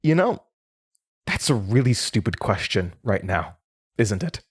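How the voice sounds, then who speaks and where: A man's voice: fairly low pitch, big pitch swings, unhurried, breathy edge, heavily acted. An actor in an audio drama playing a troubled, haunted young man, bitter and defensive, stammering and sarcastic. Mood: irritable, weary.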